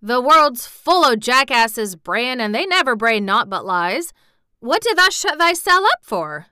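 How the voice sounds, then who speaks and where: A woman voicing a crabbed, dry-humoured old Yorkshire gardener in an audiobook, speaking slow country dialect with stubborn tenderness. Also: fairly high pitch, sing-song, pushed and bright, clean tone, dry recording, pitched above the performer's own voice, heavily acted. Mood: gruff exultation.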